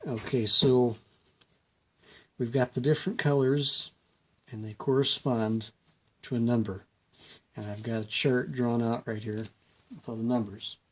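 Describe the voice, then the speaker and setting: A man's voice: mid-range voice, steady tone, a little slow, pauses often, fairly bright tone. A man hosting a hands-on repair tutorial in an informal, folksy way, explaining step by step and thinking aloud. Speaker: host of a hobby electronics repair series. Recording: clear background noise, homemade video.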